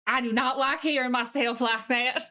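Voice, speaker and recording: A woman livestreamer chatting casually and reading aloud in an exaggerated, put-on deep Southern US accent, with a playful, folksy manner. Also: steady tone, moderate pace, sharp tone. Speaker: live streamer.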